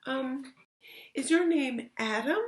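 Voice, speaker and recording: Woman as high, hesitant storybook heroine. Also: animated, slow. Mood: tentative hopeful. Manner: hesitant, questioning, feigned innocence. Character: clever young woman guessing a goblin's name. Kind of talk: storybook read-aloud character voice.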